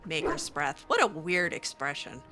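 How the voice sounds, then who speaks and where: A woman's voice: deep voice, big pitch swings, moderate pace, music in the background. A woman improvising in character during a video game playthrough, chatty and hesitant with a cutesy touch. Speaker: roleplay gamer voicing her character.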